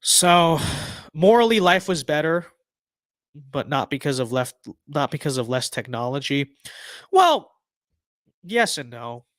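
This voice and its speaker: Young man, hoarse, rambling livestream talk. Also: fairly high pitch, very animated, a little slow, long pauses, a bit bright, no room sound. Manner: rambling, filler-heavy, many restarts. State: losing his voice. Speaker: livestream host. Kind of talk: casual livestream commentary.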